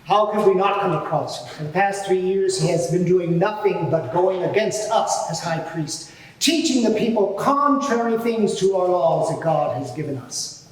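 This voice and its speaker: A man playing an indignant, self-righteous high priest giving pompous, deliberate testimony in a dramatised courtroom inquiry. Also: animated. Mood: aggrieved. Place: large courtroom before a live audience. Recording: very echoey hall, distant mic.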